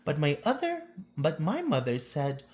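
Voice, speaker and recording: A woman reading a children's picture book aloud as a storyteller, with theatrical phrasing and drawn-out emphasis. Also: low-pitched, huge pitch swings, a little mellow.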